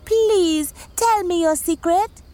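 A woman's voice: extremely high pitch, takes it slowly, mellow, pitched above the performer's own voice. A woman voicing a greedy, pleading tortoise in a children's folktale, with a whiny, insistent, wheedling manner. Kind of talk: animated children's storytelling.

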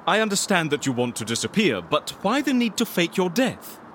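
A man's voice: sits high, very animated, a little fast, fairly bright tone, a little hiss or hum. A man playing a polite, eccentric fixer in a comedy audio drama, hesitant and theatrical in his manner. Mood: tactful, puzzled. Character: eccentric, polite, excitable male fixer interviewing a client. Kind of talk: audio fiction comedy acting.

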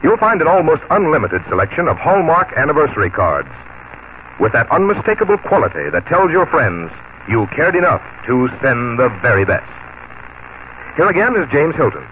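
An American man announcing and pitching products in a polished, scripted 1940s radio style. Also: very animated, moderate pace, a little hiss or hum. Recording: muffled 1940s radio broadcast, music behind.

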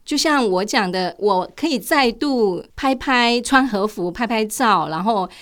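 A woman's voice: on the high side, expressive, a little slow, fairly bright tone. An older woman with a Taiwanese Mandarin accent giving conversational travel recommendations as a radio co-host.

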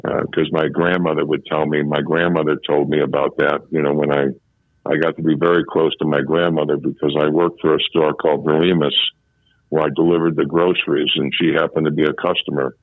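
Older man, seventies, very low voice, halting storyteller, dry phone-line sound. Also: level tone, no room sound. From Chicago, USA. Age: 70s. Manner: halting, repeats and restarts phrases. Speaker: interviewee, former policeman. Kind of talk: reminiscing in a phone interview. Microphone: telephone.

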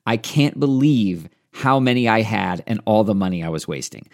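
A man's voice: lowish voice, expressive, normal speed, gravelly. A man reading a sponsor advertisement in a chatty, persuasive testimonial tone. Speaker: ad narrator. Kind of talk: scripted radio ad read.

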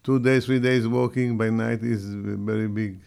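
A man's voice: on the low side, fairly even intonation, gravelly, darkish tone, clean tone. Older man with heavy Brazilian accent. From Syria. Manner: halting, restarts mid-phrase, plain direct phrasing. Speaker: guest. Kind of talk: interview answers.

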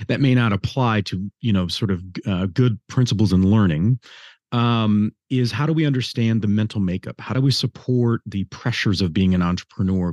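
A man's voice: on the low side, darkish tone, no room sound, studio quality. An older man hosting an interview, asking long, meandering questions full of fillers. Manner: rambling, thinking aloud with many fillers. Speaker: host interviewer.

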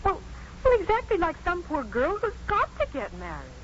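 A woman's voice: extremely high pitch, wildly swooping pitch, moderate pace, a bit bright, exaggerated voice. An actress playing an earnest, headstrong young woman in love, pressing and emotional, in a muffled 1950s radio drama. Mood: anxious, teasing. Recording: muffled 1950s radio recording, faint noise.

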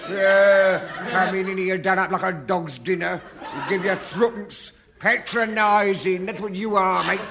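An aggrieved English cleaner in vintage radio comedy, proud and surly. He grumbles slowly in a high-pitched voice, over a clearly noisy old recording. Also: steady tone. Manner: muttering, aggrieved, slow. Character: grumbling, resentful working-class sweeper, proud of his craft. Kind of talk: 1950s British radio comedy character acting.

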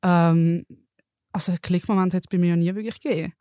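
A woman in her twenties from Basel with a Swiss German accent, a student guest speaking candidly and quickly. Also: lowish voice, steady tone, mellow, clean tone, dry recording, clean studio sound. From Basel, Switzerland. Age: 20s. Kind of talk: casual podcast chat.

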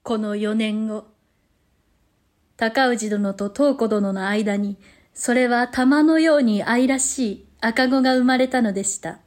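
Unhurried high-voiced period drama narrator. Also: female voice, a little mellow. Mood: storytelling. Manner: polite, formal storytelling. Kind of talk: scripted historical drama narration.

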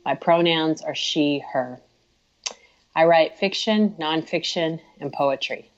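A low-pitched woman from Oklahoma, speaking as an author on a podcast. She explains patiently, in the manner of someone who talks with schoolchildren. Her audio sounds dull and lo-fi. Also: slow. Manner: warm, explanatory, like speaking to students. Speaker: guest author. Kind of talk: podcast interview.

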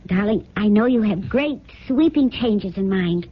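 Adoring rich wife in an old radio play, high and breathy, earnest, gushy, dull lo-fi recording. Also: female voice, animated, measured pace. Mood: pleading. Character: adoring, naive, refined heiress wife. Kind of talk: radio drama acting. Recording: dull lo-fi 1970s radio broadcast.

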